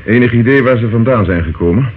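An actor playing a determined police detective in a radio play, matter-of-fact and questioning. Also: male voice, medium pitch, fast talker, natural voice. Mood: probing. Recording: muffled, noisy old radio recording.

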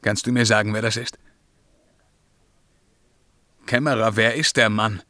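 An actor playing an imperious, brooding emperor in a verse radio drama, declamatory and commanding in a solemn, measured way. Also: male voice, lowish voice, fairly even intonation, sharp tone, no room sound. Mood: agitated, suspicious. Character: imperious, brooding Byzantine emperor, haughty and troubled.

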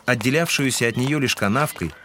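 A man's voice: mid-range voice, brisk, a bit bright, a little hiss or hum. A man narrating a literary audio drama in a composed storytelling manner.

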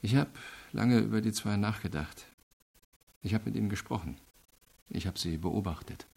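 A man playing an earnest, reflective investigator in a radio crime drama, explaining things in a measured, deliberate way. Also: on the low side, gravelly, mellow, slightly rough. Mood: earnest, intense. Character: earnest, reflective male investigator reporting to his superior.

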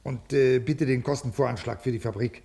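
A man's voice: mid-range voice, fairly quick, creaky, mellow, husky. An actor playing a rigid, ailing male bureaucrat in a 1950s radio play, officious, formal and brusque. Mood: curt, impatient.

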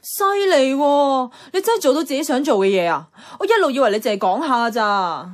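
A woman's voice: high-pitched, lively intonation, a little fast. An actress playing a blunt, bossy young career woman in a radio drama, with a colloquial Hong Kong Cantonese way of speaking. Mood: surprised, admiring. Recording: clear music playing behind the voice.

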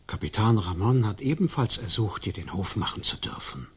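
Older colonial patriarch, radio play: reproachful, urging, creaky low voice. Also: male voice, very animated, moderate pace, heavily acted. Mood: questioning. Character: stern pleading Spanish colonial father of a marriageable daughter. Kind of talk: German radio drama acting.

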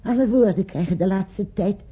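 An actress playing a weary older wife in a radio play, brooding, fearful and haunted by guilt. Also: female voice, medium pitch, normal speed, slightly rough. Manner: brooding, anxious. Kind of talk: radio play acting in Dutch. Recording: muffled old radio recording.

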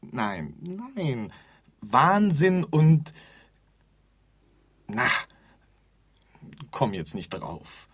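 An actor playing an offhand, forgetful keeper of an empty afterlife in a radio drama, rambling and groping for words. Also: male voice, mid-range voice, wildly swooping pitch, extremely slow, pauses often, slightly rough, dry recording, heavily acted. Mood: groping, resigned. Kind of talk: theatrical radio drama dialogue.

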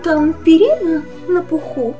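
Genteel homeless kitty, high and slow, pleading in rhyme, music behind. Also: female voice, lively intonation, mellow. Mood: coaxing. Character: genteel, homeless female cat, plaintive and coaxing. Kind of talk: children's audio play in rhymed verse.

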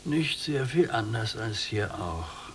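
An actor playing a weary, bitter old prisoner in a German radio drama, speaking in a gravelly, resigned and declamatory way. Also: male voice, lowish voice, very animated, slow, fairly bright tone, a little hiss or hum, full character voice.

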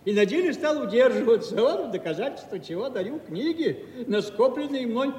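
An actor playing a timid, rambling old father in a theatrical audio play, halting and repeating himself. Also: very high-pitched, very animated, a little mellow. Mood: solemn, self-important. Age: older. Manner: hesitant, repetitive, flustered and halting. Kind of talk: theatrical stage acting of a Russian classic. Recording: echoey hall, distant mic, faint noise.

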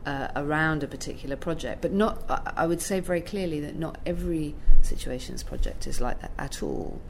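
Low-voiced woman, thoughtful and hesitant. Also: brisk, creaky. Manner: hesitant, many restarts, earnest. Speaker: arts curator, interview guest. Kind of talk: thoughtful conversational interview. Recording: faint background noise.

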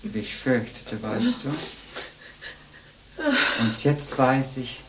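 An older actress playing a sly, jovial old bawd in a radio play, teasing and wheedling in a theatrical way. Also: female voice, extremely low pitch, big pitch swings, takes it slowly, heavy background noise, full character voice. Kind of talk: German radio play acting.

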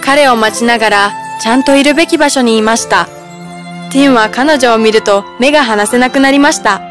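High-voiced woman narrating a fairy tale. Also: a little fast. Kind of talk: scripted children's storybook narration. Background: music.

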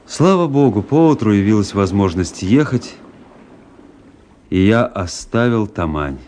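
An actor playing a wry, melancholy army officer who narrates his story in a measured, reflective radio-play style. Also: lowish voice, expressive, a little mellow, a little hiss or hum. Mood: resigned, detached. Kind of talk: dramatic literary radio narration.

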